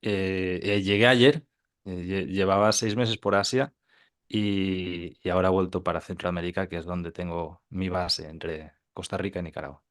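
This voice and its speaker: Low-voiced man Catalonia, casual. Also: fairly even intonation. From Catalonia, Spain. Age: 30s. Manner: informal, halting with repeated words and tag questions. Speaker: podcast guest, digital entrepreneur. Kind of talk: casual interview storytelling.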